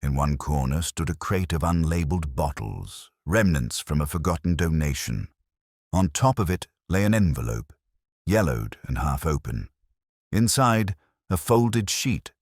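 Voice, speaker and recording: A man narrating a detective mystery in the first person as an ageing, contemplative detective, in a measured, literary, reflective manner. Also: rumbling low, expressive, no room sound, studio quality. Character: ageing male detective, melancholy, contemplative, world-weary.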